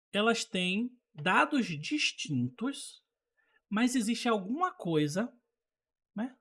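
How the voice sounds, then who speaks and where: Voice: medium pitch, fairly bright tone, husky. A Brazilian teacher giving an informal programming lesson, explaining conversationally with examples and checking that learners follow. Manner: conversational, checks understanding, acts out example dialogue.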